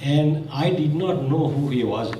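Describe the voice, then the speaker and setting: A man's voice: on the high side, animated, unhurried, mellow, a little hiss or hum. A man from Zambia whose first language is Gujarati, giving an earnest memorial speech and restarting his phrases as he goes. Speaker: speaker at a memorial meeting. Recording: echoey hall, mic from far off.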